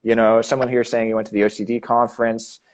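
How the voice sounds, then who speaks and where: A man's voice: mid-range voice, little pitch movement, darkish tone, poor audio. A man from Canada hosting a live chat, talking casually with frequent restarts. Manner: halting, many restarts and fillers. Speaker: host.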